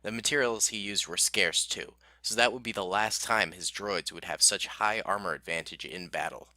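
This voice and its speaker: A man reading a scripted war story as narrator, in a steady storybook manner. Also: deep voice, moderate pace, no room sound.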